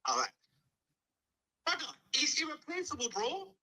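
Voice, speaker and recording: Bright-voiced animated man from Dublin. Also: extremely high pitch, moderate pace. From Dublin, Ireland. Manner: emphatic, rambling, punctuates with "bro". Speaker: caller, Newcastle fan. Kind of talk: casual slangy football chat.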